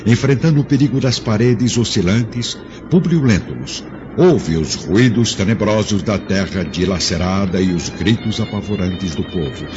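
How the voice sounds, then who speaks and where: Deep-voiced solemn male narrator. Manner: measured, solemn storytelling. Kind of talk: scripted dramatic narration. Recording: dull lo-fi audio under loud music.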